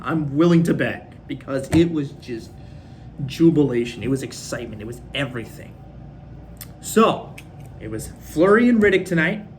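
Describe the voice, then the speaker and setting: A young man from Minnesota hosting a casual fan recap, rambling and excitable, his voice a bit worn from screaming. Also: fairly high pitch, a little hiss or hum. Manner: rambling, excitable, lots of fillers. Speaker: fan podcast host. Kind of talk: casual solo recap of a hockey game.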